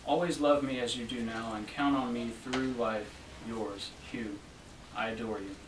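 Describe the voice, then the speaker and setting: A young man reads an old love letter aloud as a student reader. His voice sits at a middle pitch. The sound is echoey and distant, with faint noise in the room. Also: fairly even intonation, moderate pace. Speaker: student reader at a library event. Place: library room, reading to a small gathering. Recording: roomy, distant event recording with faint noise.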